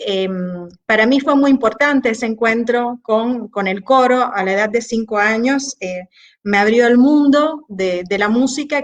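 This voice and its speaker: A woman from Cuba with a Cuban Spanish accent, a conductor interviewed over a video call, talking thoughtfully with frequent pauses. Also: fairly high pitch. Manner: warm, thoughtful, pauses often with eh. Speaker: orchestra conductor, guest.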